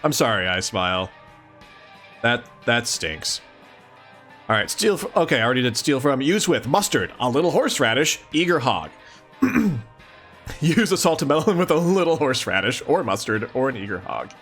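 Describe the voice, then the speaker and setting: Lively, expressive man reading aloud. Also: medium pitch, moderate pace, soft background music. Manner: animated, expressive reading with mock-incredulous asides. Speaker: streamer reading a comic aloud. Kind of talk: casual live read-along with commentary.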